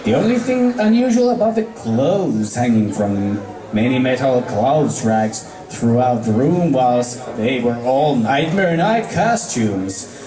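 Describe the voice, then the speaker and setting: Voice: mid-range voice, big pitch swings, slow pace, dark tone. An amateur reader narrating fan fiction aloud, stumbling and repeating words along the way. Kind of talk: story narration read aloud. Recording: echoey room.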